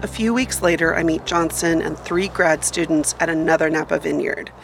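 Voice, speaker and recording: A woman narrates a radio feature as its reporter, reading from a script. Her voice sits fairly low and has a marked creak at the edges. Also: steady tone, normal speed, faint music behind.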